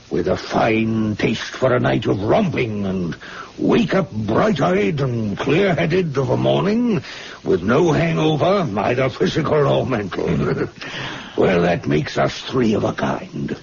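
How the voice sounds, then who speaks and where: An actor playing a jovial, crafty, avuncular senior doctor with a gravelly, creaky voice and hearty, repetitive phrasing, in an old-fashioned radio drama. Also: male voice, mid-range voice, a little mellow, exaggerated voice. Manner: hearty, repeats phrases, chuckling. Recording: dull, noisy old radio recording.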